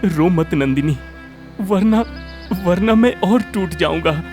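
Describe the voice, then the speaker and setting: A man voicing a kind, hardworking village husband in a cartoon moral story, with an expressive, exclamatory delivery. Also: sits high, slow pace, faint music behind. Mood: worried.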